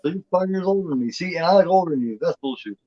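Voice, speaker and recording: A man's voice: fairly high pitch, animated, racing, warm tone, muffled recording. A man in his forties chatting as co-host, blunt and profane, rambling with lots of restarts. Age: 40s. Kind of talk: casual chat.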